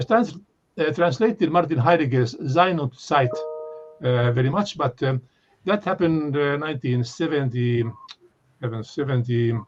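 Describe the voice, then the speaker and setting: Halting Finnish-accented older man lecturing. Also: mid-range voice. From Finland. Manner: halting, many fillers and restarts. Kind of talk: unscripted academic talk. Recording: dull lo-fi recording.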